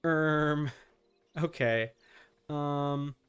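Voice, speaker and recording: A man's voice: on the high side, very slow, smooth. A man giving casual live gaming commentary as a streamer, informal, with muttered asides and mild swearing. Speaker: video game streamer.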